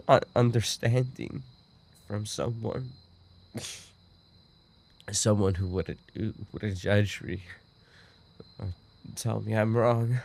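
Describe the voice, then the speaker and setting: A man's voice: mid-range voice, creaky, full character voice. A man playing a dying, obsessive and remorseful yandere roommate in an audio roleplay, speaking in weak, broken, repeated phrases. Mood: anguished pleading, then wounded longing. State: weakened, dying from an injury. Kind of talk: boyfriend ASMR audio roleplay acting.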